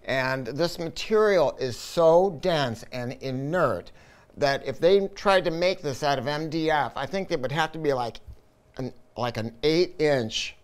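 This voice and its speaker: A man's voice: fairly high pitch, lively intonation, measured pace, clear, resonant tone. A man presenting a product review, explaining technical details in a casual, emphatic, conversational way.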